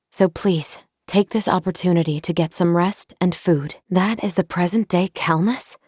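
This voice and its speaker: A woman voicing a composed, dutiful android crew member in a video game, speaking in a measured, formal, precise way. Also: fairly low pitch, darkish tone, no echo, clean studio sound, natural voice. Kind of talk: scripted video game character lines.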